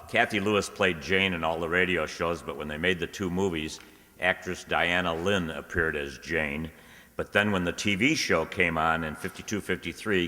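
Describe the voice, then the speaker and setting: A man's voice: sits low, pushed and bright. A man presenting a radio comedy as announcer, giving an informative introduction. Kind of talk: introductory talk on old radio. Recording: echoey hall, long reverb.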